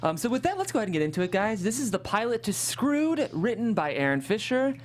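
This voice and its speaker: A woman's voice: mid-range voice, big pitch swings, quick pace. A woman hosting a panel and reading out script narration. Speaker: host and narrator.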